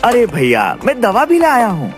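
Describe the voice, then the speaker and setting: A man's voice: very high-pitched, huge pitch swings, moderate pace, heavily acted. A man playing a cunning, brash young village trickster in a cartoon story, talking persuasively and pushily. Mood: earnest. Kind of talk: animated Hindi moral-story cartoon acting. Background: faint music.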